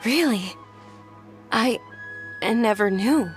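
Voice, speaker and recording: An actress playing a polite, gentle and caring young noblewoman in a video game. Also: a little high, lively intonation, slow pace, soft background music. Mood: surprised.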